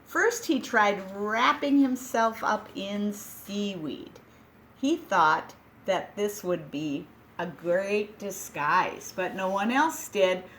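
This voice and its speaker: A woman reading a children's picture book aloud, gentle and expressive, with dramatic pauses. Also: medium pitch, slight background noise.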